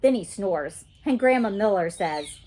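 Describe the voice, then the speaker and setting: A woman reading a children's story aloud in an animated, childlike storytelling manner. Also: medium pitch, measured pace, slight background noise.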